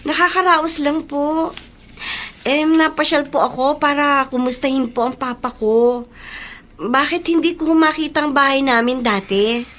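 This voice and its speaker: A Filipino actress playing a gentle, polite grown daughter in an emotive, hesitant radio drama style. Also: female voice, high-pitched, measured pace. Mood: polite, curious. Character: Isabel, gentle grown daughter returning to her childhood slum. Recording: noticeable background noise.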